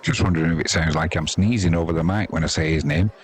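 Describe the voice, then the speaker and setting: A man's voice: low-pitched, quick pace. A man with an English accent giving casual cricket commentary in a chatty, dry-humoured, blunt and slangy way. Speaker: live sports commentator and host.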